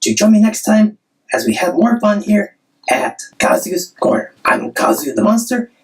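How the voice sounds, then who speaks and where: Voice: mid-range voice, very animated, normal speed, creaky, far from the mic, poor audio. A performer voicing a cheerful, friendly monster who hosts a children's story show in simple, chatty talk. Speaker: host of children's story channel.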